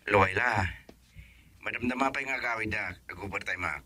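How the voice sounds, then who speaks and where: A man playing an earnest, hardworking young husband who speaks gently to his wife in an Ilocano radio drama. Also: on the low side, normal speed, no room sound. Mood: tender, hushed.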